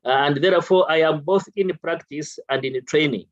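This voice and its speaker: Ugandan male doctor, high-ish voice, lo-fi dry line, formal and measured. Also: no echo. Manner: measured, formal, many fillers. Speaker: family physician, panelist. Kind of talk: conference panel response.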